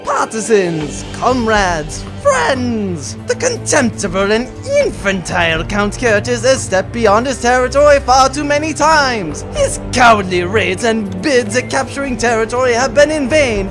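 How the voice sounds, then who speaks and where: A man's voice: very high voice, full character voice. A man playing a pompous, ranting, vain space baron villain in a comic sci-fi audio drama. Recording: music behind the voice.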